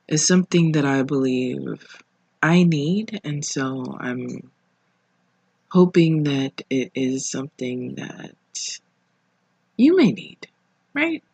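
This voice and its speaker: A podcast host speaking casually alone, in an informal, reflective way with pauses between phrases. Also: mid-range voice, husky, dry recording. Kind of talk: casual solo podcast monologue. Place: living room at home.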